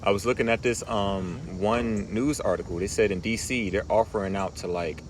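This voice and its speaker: A man outdoors on a city street, reading scripture aloud in a measured, deliberate way and adding commentary. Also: fairly low pitch, fairly even intonation, slight background noise. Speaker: scripture reader in a street teaching group.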